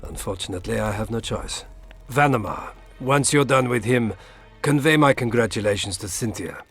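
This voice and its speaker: An actor playing a courteous, scheming middle-aged Imperial ambassador in a fantasy game, formal and politely menacing. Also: male voice, medium pitch, animated, moderate pace, fairly bright tone, slightly rough, faint music behind. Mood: smugly menacing. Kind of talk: scripted fantasy game dialogue.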